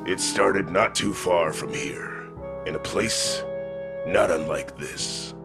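An actor playing a cryptic, brooding cloaked stranger in a comedy horror radio play. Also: male voice, deep voice, takes it slowly, creaky, exaggerated voice. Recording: loud guitar music behind the voice.

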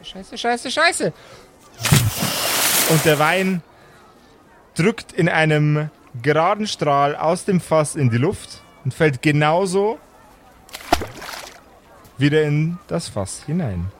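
A man narrating an improvised tabletop game as game master, dramatically, with measured and suspenseful pauses. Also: on the high side, animated, background noise.

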